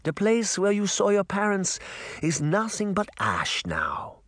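A man voicing a kindly old grandmother in an audiobook, speaking gently with a light German accent. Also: high-pitched, huge pitch swings, unhurried, no echo, pitched above the performer's own voice, heavily acted. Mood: gentle, sorrowful. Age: older. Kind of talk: audiobook character voice.

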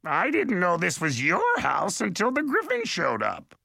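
A man's voice: high voice, wildly swooping pitch, measured pace, gravelly, sharp tone, dry recording, clean studio sound, pitched above the performer's own voice, heavily acted. A man voicing a frantic, cheeky little male hobgoblin in an audiobook, with an indignant, yelping way of talking. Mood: defensive. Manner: yelping, indignant, colourful insults. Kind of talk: audiobook character voice.

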